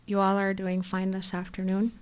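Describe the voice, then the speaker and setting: A soft-spoken woman giving a nervous, halting closing statement in a courtroom, speaking through a courtroom microphone. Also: medium pitch, completely flat delivery, clean tone, muffled recording. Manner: hesitant, halting with fillers and restarts. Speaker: defendant giving closing argument.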